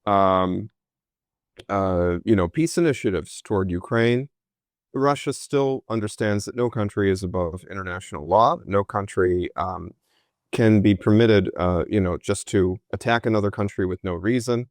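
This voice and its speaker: A man's voice: fairly low pitch, moderate pace, no echo, studio quality. A man, a historian answering interview questions with many fillers and restarts. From United States. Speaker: historian guest.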